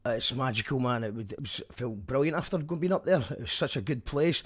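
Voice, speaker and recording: A man's voice: medium pitch, animated, fast talker, breathy edge. A Scottish man hosting a casual radio chat, chatty and friendly. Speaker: radio show host.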